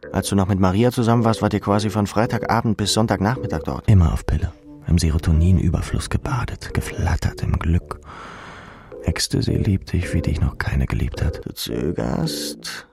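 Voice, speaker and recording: A restless, grieving young clubber in a literary audiobook. The voice is deep and creaky, with music behind it. He addresses himself in dramatic, clipped, self-lacerating phrases. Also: moderate pace. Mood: edgy, intoxicated. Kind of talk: literary second-person monologue.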